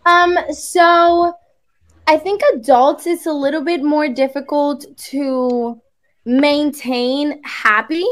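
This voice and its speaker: Bubbly high-voiced woman tutor, unhurried. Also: steady tone. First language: Spanish. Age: young adult. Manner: bubbly, chatty, laughs often. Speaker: online English tutor. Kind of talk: casual chat on a video call. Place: indoor room. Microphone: video call.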